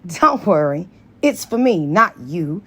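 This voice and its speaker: A woman's voice: on the low side, sing-song, takes it slowly, fairly bright tone, husky, full character voice. A woman voicing a blunt, independent mistress who is smug and dismissive, in audiobook dialogue.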